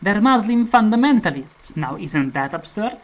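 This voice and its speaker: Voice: medium pitch, sing-song, moderate pace. A performer plays a cynical autocrat holding forth in satirical verse. The voice is commanding and sardonic, declaiming each rhymed line with shrewd scorn. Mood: sardonic, argumentative.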